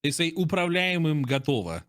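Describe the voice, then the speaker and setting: A man's voice: on the high side, big pitch swings, normal speed, fairly bright tone, dry recording, studio quality. A man chatting casually as a guest reviewer, often searching for his words as he explains. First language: Russian. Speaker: guest content creator and streamer. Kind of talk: casual chat, reviewing game voice lines.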